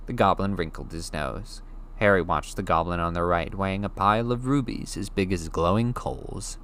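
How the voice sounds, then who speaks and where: A man reading a novel chapter aloud as an audiobook narrator, with steady, clear storytelling. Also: deep voice, moderate pace. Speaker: audiobook reader.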